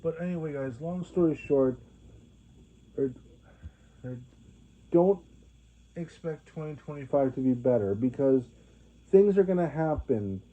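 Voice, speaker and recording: A young man in his late twenties talks straight to his viewers, his voice sitting a little high for a man. He stops and restarts his sentences again and again, filling the gaps with fillers. The sound is thin and tinny, like a voice over a phone line. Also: expressive. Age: 20s. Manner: halting, many restarts and fillers. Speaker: vlogger. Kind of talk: rambling monologue to viewers.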